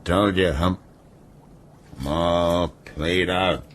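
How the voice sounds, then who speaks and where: A brutal ship's captain brought low by illness, still defiant and mocking. The voice is deep and very slow, deliberate in every phrase, in a radio drama with some background noise. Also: male voice, fairly even intonation, a bit bright, full character voice. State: ailing, weakened. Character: brutal, sardonic ship's captain, ailing and half-paralyzed, defiant.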